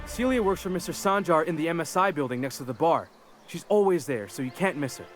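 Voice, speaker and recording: A man's voice: fairly high pitch, expressive, fast talker, faint music behind, natural voice. An actor playing an eager, chummy, rambling gatekeeper clerk in a video game, a man with a friendly manner. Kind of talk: video game character dialogue.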